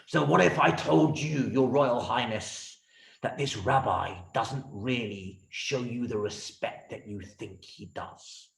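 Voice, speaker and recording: A man's voice: mid-range voice, slow, voice pushed down. A man voicing a scheming, fawning prime minister in a children's story, stammering and insinuating as he talks. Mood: sly, insinuating. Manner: stammering, throat-clearing, insinuating. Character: scheming, obsequious male prime minister, sly and bumbling. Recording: roomy, echoey room sound.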